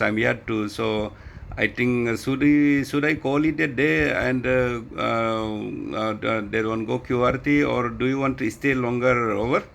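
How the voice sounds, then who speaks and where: A man's voice: medium pitch, gravelly, a bit bright, slight background noise. A man with an Indian accent chatting casually as an amateur radio operator, hesitant and often repeating his words. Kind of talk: casual ham radio chat.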